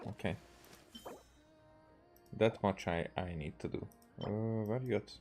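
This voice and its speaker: A Hungarian man with a fairly low voice and a Hungarian accent, his native language being Hungarian, talks through his gameplay. He rambles casually and haltingly, thinking out loud, with soft music in the background. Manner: halting, hesitant, thinking aloud. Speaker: game streamer. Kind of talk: casual rambling game commentary.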